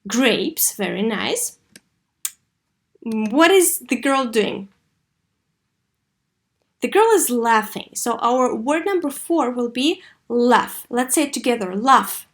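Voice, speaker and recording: A woman teaching an English lesson to young learners, asking questions and answering them herself, with key words pronounced slowly and deliberately. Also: fairly high pitch, lively intonation. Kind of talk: scripted online lesson addressed to young learners.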